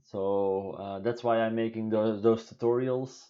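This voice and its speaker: A man in his thirties from Belgium with a deep voice, answering viewer questions in halting English on a home live stream. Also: steady tone, warm tone, dull audio. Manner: halting, many fillers and restarts, non-native English phrasing. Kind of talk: casual live Q&A talk. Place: small room indoors at home.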